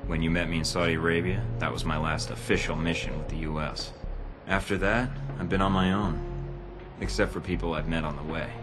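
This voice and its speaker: An actor playing a cool, determined young American secret agent in a video game, low-key and dryly wry. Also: male voice, fairly even intonation, brisk, natural voice. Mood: guarded, dry. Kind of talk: video game cutscene acting. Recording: loud music underneath.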